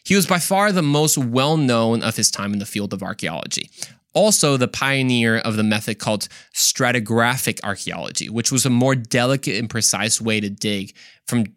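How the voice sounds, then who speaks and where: A man's voice: medium pitch, normal speed, fairly bright tone, no room sound, clean studio sound. A man hosting a podcast, telling stories casually and conversationally in a playful, joking manner with exclaimed asides. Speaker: podcast host. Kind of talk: casual, conversational storytelling to listeners.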